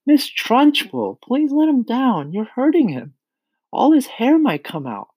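A man voicing a gentle woman schoolteacher from a children's storybook, crying out in a pleading manner. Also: extremely high pitch, huge pitch swings, a little slow, husky, pitched above the performer's own voice, exaggerated voice, low-quality recording. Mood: alarmed, pleading.

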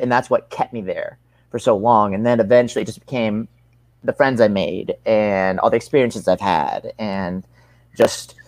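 A man's voice: mid-range voice, dry recording. A young man giving casual interview answers as a combat sports athlete, earnest and rambling, often rephrasing himself.

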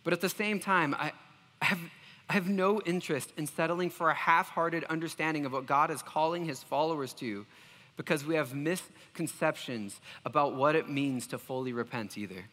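A man's voice: a little high, moderate pace, sharp tone, in a large hall. A man preaching a sermon as pastor, earnest and self-deprecating, often restarting his phrases.

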